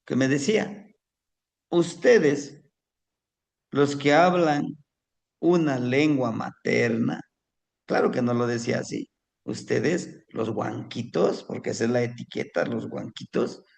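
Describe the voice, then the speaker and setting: A man from Mexico, a native Nahuatl speaker with a Mexican Spanish accent, telling a personal story to a class in a slow, reflective way. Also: medium pitch, gravelly. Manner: slow, reflective, warmly addresses listeners as family.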